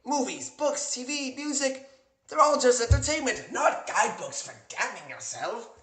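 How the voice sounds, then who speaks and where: Brooding homicidal maniac, volatile, swooping melodramatic delivery, roomy sound, comic dub. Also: male voice, very high voice, very animated, moderate pace, exaggerated voice. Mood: scornful. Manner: theatrical, erratic, swinging from hushed to shrieking.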